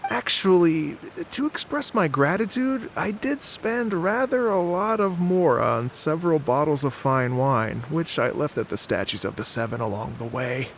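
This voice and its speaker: A man's voice: on the high side, expressive, moderate pace, few pauses, a little hiss or hum. A man voicing a gracious, poetic young travelling musician in a video game, with a courteous, lyrical, earnest delivery. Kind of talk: video game character acting.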